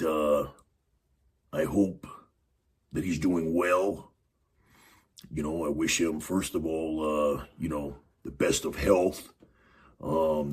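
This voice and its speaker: A man with a raspy, extremely deep voice hosts a podcast on his own. He talks in a loose, rambling way, full of "you know" and "uh". There is a breathy roughness to his tone. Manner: rambling, many fillers and restarts. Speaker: podcast host. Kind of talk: casual wrestling commentary monologue.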